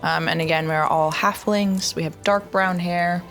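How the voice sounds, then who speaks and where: A woman playing a tabletop roleplay, describing her character and arguing in character in an animated way. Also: fairly low pitch, measured pace, soft background music. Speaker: player.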